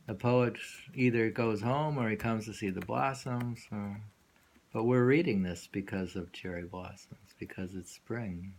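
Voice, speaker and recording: A man reading poetry aloud and discussing it informally, in a musing, halting way that lingers and repeats lines. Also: lowish voice, a little mellow, slight background noise. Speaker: poetry reader and host.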